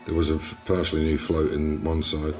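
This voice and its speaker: A man's voice: very deep voice, monotone, normal speed, darkish tone, roomy sound, faint music behind. An English man hosting a casual car restoration vlog, rambling through his workshop with restarts and fillers. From England. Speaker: classic car restorer and channel host. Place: garage workshop.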